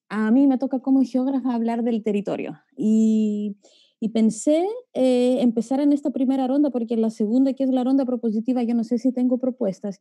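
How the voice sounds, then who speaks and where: Very mellow, higher-pitched woman. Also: no room sound. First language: Greek. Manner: reflective, frequent checking tags. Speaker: geographer, panelist. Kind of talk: informal academic explanation. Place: remote online video forum.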